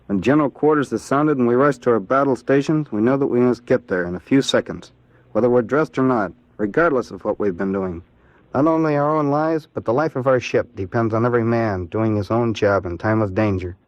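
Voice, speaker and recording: A man with an American accent answering in a scripted 1940s radio interview as a Navy seaman, in a plain, earnest, measured way. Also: medium pitch, dry recording, natural voice. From Ohio, United States. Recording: dull vintage 1940s radio recording.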